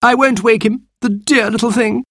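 Man doing a syrupy, high-voiced grand lady who snatches babies, husky tone. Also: steady tone, normal speed, fairly bright tone, no echo, clean studio sound, exaggerated voice. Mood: gushing. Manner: gushing, falsely sweet. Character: grand, sugary society lady, a child-snatcher.

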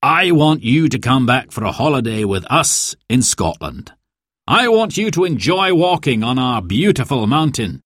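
A man's voice: medium pitch, big pitch swings, moderate pace, fairly bright tone, slightly rough, no echo, studio quality. A man voicing a generous hostel owner speaking in an inviting way in a storybook reading. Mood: kindly.

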